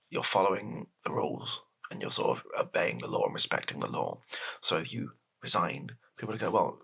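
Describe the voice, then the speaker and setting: A British man improvising a softly spoken comedy roleplay as a hesitant, deadpan, rambling political advisor to the prime minister. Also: deep voice, creaky, husky, heavily acted. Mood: exasperated, scheming.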